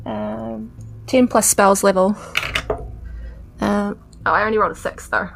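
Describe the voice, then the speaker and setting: A woman's voice: mid-range voice, steady tone, slow, gravelly, noisy background. A woman, chatting casually as a player in a tabletop game.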